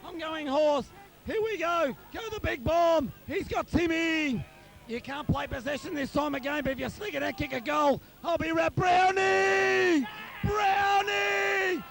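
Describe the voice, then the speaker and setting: Male Australian amateur footy caller, extremely high strained pitch, wildly excited and one-eyed, yells names over and over. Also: a little slow, gravelly. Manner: shouty, wildly excited, repeats names and calls. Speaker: amateur football commentator. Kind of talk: excited partisan live sports commentary.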